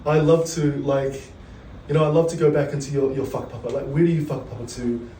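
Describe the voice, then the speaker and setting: A man's voice: mid-range voice, fast talker, warm tone, roomy sound, recorded from a distance, slight background noise, rough recording. A man with a New Zealand accent hosting a casual podcast interview, joking easily and mixing Māori words into his talk. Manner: laid-back, joking, many fillers, mixes in Māori words. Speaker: podcast host.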